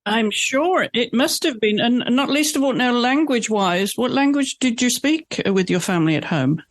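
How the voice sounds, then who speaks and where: Calm measured podcast host. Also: male voice, extremely high pitch. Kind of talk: interview questions.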